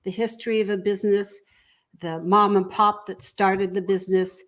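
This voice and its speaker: A woman's voice: medium pitch, little pitch movement, normal speed. A woman interviewed as an entrepreneur guest, explaining a business service in a conversational way.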